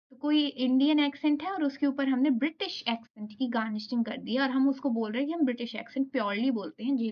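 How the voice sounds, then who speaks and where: Indian woman lecturer, slow read-aloud and re-explaining, slightly high pitch, dull lo-fi audio. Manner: explains, reads English notes slowly, then repeats them. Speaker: teacher. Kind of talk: exam lecture, reading notes aloud and explaining.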